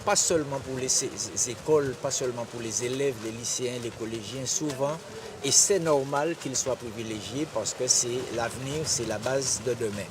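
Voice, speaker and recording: A man giving halting, reflective interview answers, searching for his words. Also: medium pitch. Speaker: water sports figure honoured at an inauguration. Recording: distant mic, noisy outdoor ambience.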